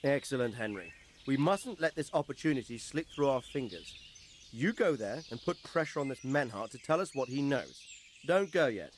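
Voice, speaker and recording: A man playing a brisk, inquisitive and authoritative medieval lord in a video game. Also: mid-range voice, very animated, slight background noise, natural voice. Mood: decisive. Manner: commanding, inquisitive.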